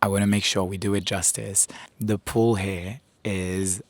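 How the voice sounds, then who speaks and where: Deep-voiced man vlogging, slightly hoarse. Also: level tone, a little slow, dry recording. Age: 30s. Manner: upbeat, chatty, enthusiastic. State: hoarse, recovering voice. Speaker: travel vlogger. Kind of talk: casual vlog to camera. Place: outdoors on a rooftop terrace. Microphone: small handheld camera at arm's length.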